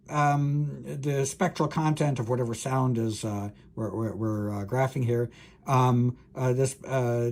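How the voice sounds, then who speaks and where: A male university lecturer with a creaky, husky voice talks through material informally. He is halting and thinks aloud, working step by step through an unscripted explanation. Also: medium pitch, darkish tone.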